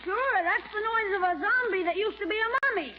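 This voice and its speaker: An actor playing a cheeky, slangy schoolboy nephew in a 1940s radio comedy. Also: male voice, very high-pitched, brisk. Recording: muffled, very noisy old radio recording.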